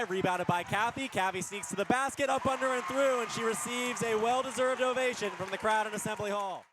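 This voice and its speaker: A man doing energetic live radio basketball play-by-play in punchy short phrases, rising on big plays. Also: high voice, slight background noise. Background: basketball arena ambience.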